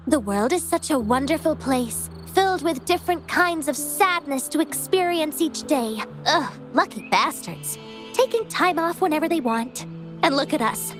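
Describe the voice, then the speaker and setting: A woman voicing a weary, exasperated young researcher in a video game, grumbling and sardonic. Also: high voice. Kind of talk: scripted video game voice acting.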